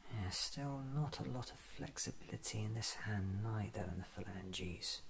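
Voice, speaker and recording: A man's voice: fairly low pitch, normal speed, creaky, a little hiss or hum. A man performing a whispered ASMR roleplay, murmuring methodically to himself as an eccentric doctor examining his own body.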